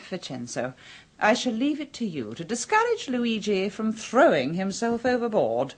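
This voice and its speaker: An actress playing a melancholy, mysterious contessa, speaking in a languid, brooding way in an old radio drama. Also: female voice, medium pitch, wildly swooping pitch, moderate pace, breathy edge. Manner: languid, brooding, philosophical delivery. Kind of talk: 1970s radio drama acting.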